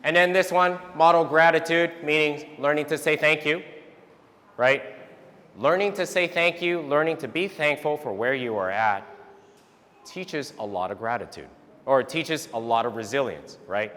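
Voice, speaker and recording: A man whose first language is Vietnamese, giving an animated motivational talk to parents and often ending points with a quick "right?". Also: a little high. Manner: animated, tags statements with "right?". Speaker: speaker giving a talk on resilience. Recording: long hall reverb.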